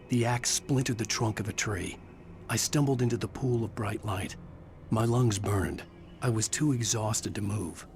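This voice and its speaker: A man narrating a horror video game in a measured, brooding voice as the writer lead. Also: on the low side, a little hiss or hum.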